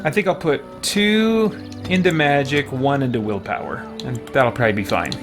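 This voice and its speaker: A man's voice: medium pitch, expressive, moderate pace. Casual male streamer thinking aloud over music. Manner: thinking aloud, musing to himself. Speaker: streamer playing and commenting on a video game. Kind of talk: casual live let's play commentary.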